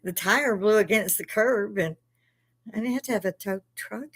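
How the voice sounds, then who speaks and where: A woman's voice: a little high, very animated, takes it slowly, bright, forceful tone. An older woman from Chicago with a Chicago accent, hosting a live stream and telling rambling, laugh-filled stories with plenty of swearing. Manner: laughs often, swears freely, rambling anecdotes. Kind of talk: casual storytelling chat.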